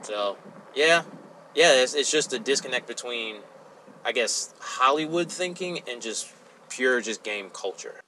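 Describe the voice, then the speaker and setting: Bright-voiced man, casual podcast chat. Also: mid-range voice, unhurried, a little hiss or hum, low-quality recording. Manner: animated, exclaims often. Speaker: podcast co-host.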